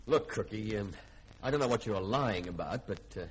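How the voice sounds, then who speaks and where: An actor playing an easygoing, wry ship's lieutenant who gives offhand orders in a 1950s radio drama. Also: male voice, on the low side, expressive, normal speed, natural voice, rough recording. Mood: wry, indulgent. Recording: old radio broadcast, faint hiss, clicks.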